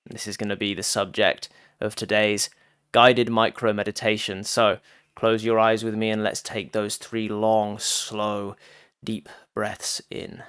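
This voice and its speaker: A man giving a guided meditation talk as a teacher, phrasing deliberately with frequent pauses and addressing listeners directly. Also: lowish voice, little pitch movement, fairly bright tone, clean tone, dry recording, clean studio sound.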